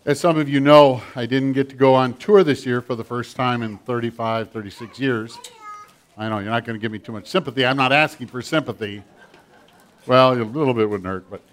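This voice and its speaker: An older man preaching a sermon with a children's message, animated and storytelling with a big voice. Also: mid-range voice.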